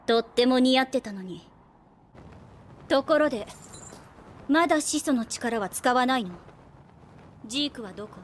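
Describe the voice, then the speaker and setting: An actress playing a shrewd young woman soldier in an anime drama, composed, unhurried and coolly persuasive with a soft teasing edge. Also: high voice, animated, a little hiss or hum. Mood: lightly teasing. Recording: background music under the voice. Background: music.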